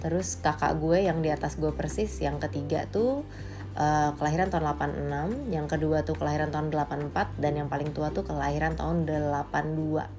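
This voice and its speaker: A woman's voice: low-pitched, fairly even intonation, brisk, over music. A woman in her late twenties from Indonesia giving a casual, candid monologue, blunt and slangy, with English phrases mixed in. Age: late 20s.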